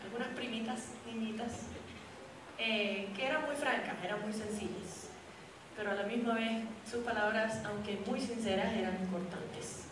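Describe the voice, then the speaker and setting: A young woman giving a lively, humorous church testimony and speaking directly to the congregation. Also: fairly high pitch, normal speed. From raised in Puerto Rico. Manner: lively storytelling, addresses the audience directly, with humor. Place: church hall. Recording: echoey hall, distant mic, noisy.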